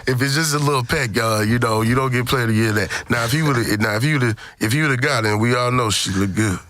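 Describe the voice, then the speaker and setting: A man's voice: medium pitch, fast, a bit bright, no room sound. An American man in casual, slangy podcast chat, emphatic and often repeating himself. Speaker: podcast host. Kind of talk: casual sports and gossip chat.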